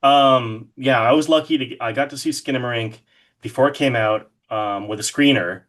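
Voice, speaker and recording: A man's voice: medium pitch, moderate pace, a bit bright. Enthusiastic man chatting casually, mid-range voice. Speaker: podcast guest, horror artist. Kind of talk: casual interview chat about horror films.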